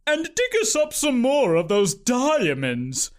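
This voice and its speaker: A giggly, sugary rich aunt with a sing-song lilt, put on by a man reading a story aloud. Her voice rides high in falsetto-like swoops. It is a playful storybook voice. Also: sing-song, very slow, clear, resonant tone, heavily acted.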